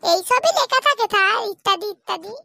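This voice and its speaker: A performer voicing a confident young school pupil in a comedy cartoon, earnestly reciting answers in a squeaky voice. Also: expressive, brisk, creaky, bright, forceful tone, dry recording, clean studio sound, full character voice.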